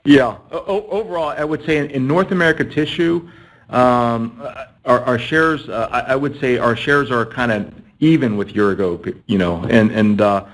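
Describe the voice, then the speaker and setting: A man's voice: medium pitch, normal speed, low-quality recording. A man, a company executive, answering analyst questions on an earnings call and often repeating words as he thinks.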